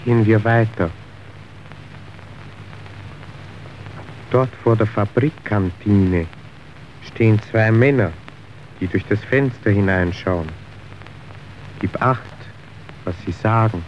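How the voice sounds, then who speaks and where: A man's voice: lowish voice, close to an everyday voice. Man acting a slick, calculating factory official, muffled recording. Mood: cool, watchful. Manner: measured, persuasive, officious. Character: slick, calculating male factory boss's agent, smoothly manipulative. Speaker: actor. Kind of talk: stage-like German radio drama acting. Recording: dull, noisy old radio recording.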